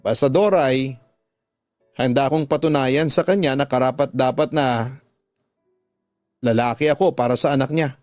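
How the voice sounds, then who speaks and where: A man's voice: medium pitch, normal speed, long pauses, dry recording, studio quality, faint music behind, lightly acted. A man playing an earnest young suitor, sincere and solemn, promising devotion in a radio drama. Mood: earnest, resolute.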